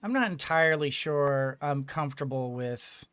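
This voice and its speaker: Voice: medium pitch, animated, moderate pace, fairly bright tone, smooth. A gamer giving casual let's play commentary, thinking aloud and often repeating words. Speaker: gaming video host.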